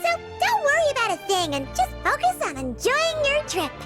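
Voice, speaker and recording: A woman voicing a small, excitable fairy girl companion in a video game, chirpy and childlike. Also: very high-pitched, brisk, exaggerated voice. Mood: cheerful. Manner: chirpy, speaks of herself in third person. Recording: music under the voice.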